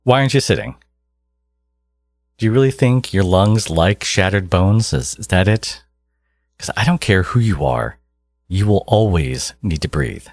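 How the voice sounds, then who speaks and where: A man playing a menacing, condescending genius supervillain in an audio roleplay, exasperated and obsessive yet caring, with a low, gravelly, husky voice. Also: animated, a little slow, long pauses, dry recording. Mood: exasperated, impatient. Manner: condescending, menacing, theatrical, slipping into whispers. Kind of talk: dramatic second-person audio roleplay monologue.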